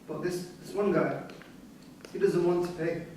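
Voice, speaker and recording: An actor playing a young, street-hardened migrant prisoner in a staged reading, plain-spoken, with non-standard grammar and a defensive, pragmatic air. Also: male voice, sits high, normal speed, mellow, lightly acted. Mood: matter-of-fact, self-justifying. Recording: distant mic in echoey room, noisy.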